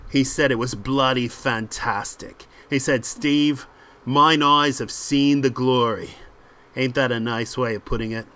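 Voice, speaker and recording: A man's voice: medium pitch, fairly even intonation, measured pace, bright, forceful tone. A man voicing a cocky, callous British crook with slangy, mocking swagger in a comic book read aloud. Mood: boastful, amused.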